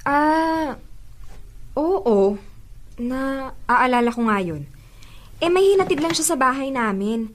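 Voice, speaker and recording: A woman's voice: high voice, animated, takes it slowly, pauses often. A woman with a Filipino accent acting a direct, questioning young woman in a radio drama, with faint background noise. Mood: explaining, puzzled. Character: questioning young woman, hurt close friend.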